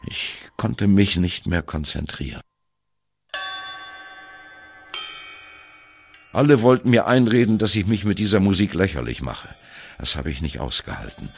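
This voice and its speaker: Radio play monologue: older jazz musician, wry and self-doubting, gravel-throated bass, dry sardonic storyteller. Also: male voice, a little hiss or hum, full character voice. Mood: confessional. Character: wry, self-doubting ageing free jazz drummer reminiscing. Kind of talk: radio play monologue, first-person narration.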